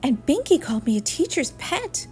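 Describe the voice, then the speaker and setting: Female reader as polite, earnest storybook schoolboy, higher pitch, music underneath. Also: sing-song, moderate pace, a little mellow. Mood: upset. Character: earnest young schoolboy, polite and easily troubled.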